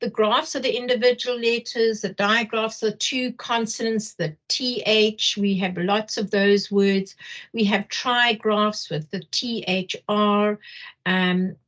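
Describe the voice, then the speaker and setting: A middle-aged woman with a Zimbabwean accent explaining material as a workshop trainer, haltingly, often repeating phrases for emphasis. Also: medium pitch, fairly even intonation, a bit bright. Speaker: teacher trainer leading a workshop. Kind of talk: explanatory lecture.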